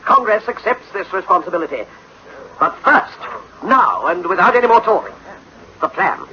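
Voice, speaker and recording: An actor playing an impatient, commanding government official in a radio drama, with formal, pressing, oratorical delivery. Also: male voice, sits high, very animated, moderate pace, bright, forceful tone, full character voice. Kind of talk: dramatic sci-fi radio acting. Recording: dull, faintly noisy old radio recording.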